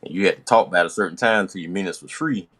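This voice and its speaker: A man's voice: low voice, quick pace, fairly bright tone. An American man hosting a podcast, casually telling stories from his younger days in slangy, profane talk, acting out the dialogue as he goes. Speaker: podcast host.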